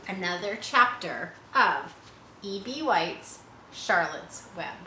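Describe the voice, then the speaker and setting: A woman's voice: fairly low pitch, big pitch swings, slow pace, pushed and bright. A woman hosting a children's read-aloud, narrating a storybook and speaking directly to young listeners. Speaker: storybook reader and host. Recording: echoey room, faint background noise.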